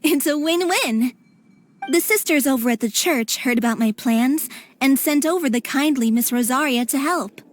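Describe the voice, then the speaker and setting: Woman as an earnest, high-voiced young animal lover. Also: normal speed, a little hiss or hum, close to an everyday voice. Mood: eager, earnest. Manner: earnest, eager, slightly flustered. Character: earnest, anxious young female cat-shelter founder. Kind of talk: English video game voice acting. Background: faint music.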